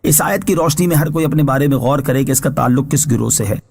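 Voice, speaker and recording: A man reading scripted Islamic translation and commentary in a measured, formal, instructive way, as a scholar would. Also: mid-range voice, gravelly, a little mellow, slightly rough. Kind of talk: scripted religious exegesis narration.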